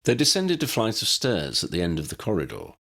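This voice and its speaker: Low-voiced male audiobook narrator. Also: wildly swooping pitch, fairly quick, fairly bright tone. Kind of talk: scripted fiction narration.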